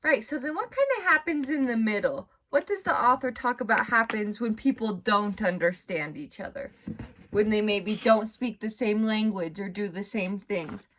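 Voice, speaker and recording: A woman's voice: mid-range voice, normal speed, a bit bright, poor audio. A woman teacher leading a read-aloud lesson for young pupils, explaining in simple phrases and guiding them with questions. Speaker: elementary school teacher.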